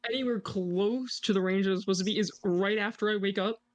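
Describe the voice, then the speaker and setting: A man's voice: high voice, steady tone, quick pace. A man co-hosting a reaction video, casually analysing a song and joking with his audience. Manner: chatty, jokey, points things out to the audience.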